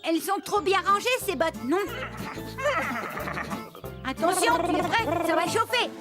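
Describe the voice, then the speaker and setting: A woman's voice: very high voice, animated, measured pace, loud music behind the voice. A woman voicing a mischievous, playful young prankster with a sly delivery in a children's cartoon.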